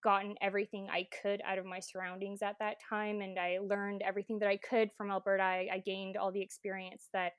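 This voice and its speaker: Podcast guest: young woman from Croatia raised in Canada, smooth steady tone, earnest and full of ums, dry sound. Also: mid-range voice, brisk, hardly any pauses, dry recording. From born in Croatia, grew up in Canada. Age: 20s. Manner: earnest, with many fillers and restarts. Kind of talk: casual interview conversation.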